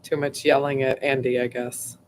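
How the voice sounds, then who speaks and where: A woman hosting a casual livestream, her voice going hoarse, rambling chattily with lots of fillers and frequent throat clearing. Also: extremely low pitch, little pitch movement, normal speed, a little mellow, a little hiss or hum, low-quality recording. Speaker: host.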